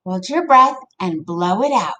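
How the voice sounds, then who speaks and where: A woman voicing a knowing, helpful storybook mother, confidently giving gentle instructions. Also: mid-range voice, expressive, a little slow, slight background noise. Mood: knowing, reassuring.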